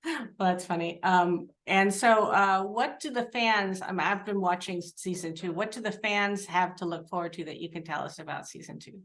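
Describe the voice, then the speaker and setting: Informal woman interviewer, mid-pitched voice. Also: moderate pace, fairly bright tone. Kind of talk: informal interview with questions and remarks.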